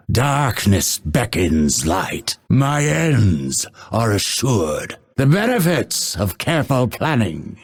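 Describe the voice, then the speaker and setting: Husky, deep-voiced brooding assassin. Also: male voice, a little mellow, heavily acted. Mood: dark resolve. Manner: measured, theatrical, ominous delivery. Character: male assassin, brooding, menacing, vengeful, coldly devoted. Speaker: video game voice actor. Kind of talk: dramatic game character voice lines.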